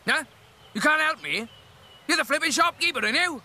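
A man's voice: very high-pitched, animated, brisk, pushed and bright, heavily acted. A British man voicing a small round creature, an indignant, exasperated straight man in a video game comedy sketch. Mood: impatient. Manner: indignant, theatrical. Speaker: stand-up comedy double act straight man.

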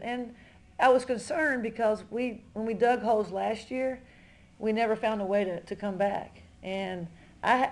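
A middle-aged woman speaks as a coach at a postgame press conference. Her voice is mellow and sits at a medium pitch. She talks conversationally, often doubling back on her words as she sums up. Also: vocal fry. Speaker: college basketball head coach. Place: indoor press room in front of a backdrop.

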